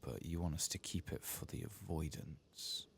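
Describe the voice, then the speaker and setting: Male ASMR gamer, very low creaky voice, soft-spoken to whispered, muttering to himself, faint background noise. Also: moderate pace. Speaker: host of an ASMR game playthrough.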